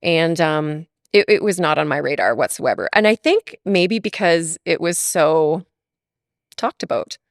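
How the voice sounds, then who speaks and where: A woman's voice: low-pitched, animated, a little slow, no echo, studio quality. A woman from Alberta, Canada, chatting as a guest in an interview, laughing often and talking with lots of fillers. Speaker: podcast guest. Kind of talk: casual interview chat.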